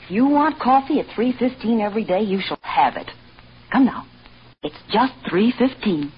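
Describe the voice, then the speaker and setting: An actress playing a cheerfully insistent, soothing hotel servant in a vintage radio drama. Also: female voice, a little high, sing-song, moderate pace, over music. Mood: coaxing. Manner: coaxing, obliging. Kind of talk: 1940s-50s American radio suspense acting. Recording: dull, noisy old radio recording.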